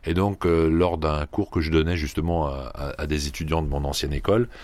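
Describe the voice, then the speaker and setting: Very low-pitched man, agronomist guest, measured with many pauses, dry close sound. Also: steady tone, a little mellow, dry recording. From Paris region, France. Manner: measured, many hesitations and restarts. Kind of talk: radio interview answers.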